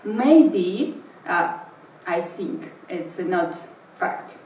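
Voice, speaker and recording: A woman with a Russian accent answering interview questions in English, thinking aloud with many pauses and fillers. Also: lowish voice, animated, warm tone, a little hiss or hum. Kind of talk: thoughtful spoken answer in English. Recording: roomy sound from a distant mic.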